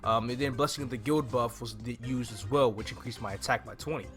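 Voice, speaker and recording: A man in his twenties talks through a game walkthrough in a casual, explanatory way. His voice sits at a middling pitch and is picked up close on a desk mic, with music playing behind him. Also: fairly quick, fairly bright tone. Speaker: gaming YouTuber. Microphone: desktop microphone on a stand, close.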